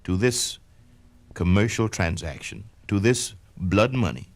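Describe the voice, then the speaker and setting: A man giving a measured, declamatory first-person reading of an autobiography for a vintage spoken-word record. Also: lowish voice, animated. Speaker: narrator reading a memoir.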